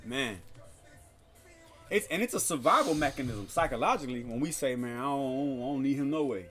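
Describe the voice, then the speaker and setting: A man's voice: mid-range voice, lively intonation, a little fast, a bit bright, faint music behind. A man in casual podcast conversation who talks like a preacher, citing scripture and building to emphatic, repeated phrases. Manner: cites scripture.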